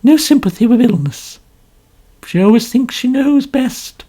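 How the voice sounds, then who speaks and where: Storybook figure: high, loud, theatrical lady novelist, complaining and self-important, put on by the narrator. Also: expressive, unhurried. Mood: gushing. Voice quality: complaining, melodramatic. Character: pompous, gushing older woman novelist.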